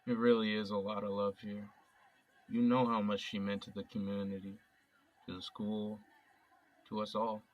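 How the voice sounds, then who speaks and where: An actor playing a young police officer gently consoling his grieving chief. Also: lowish voice, level tone, normal speed, long pauses, close to an everyday voice. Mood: gentle. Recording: distant lo-fi mic.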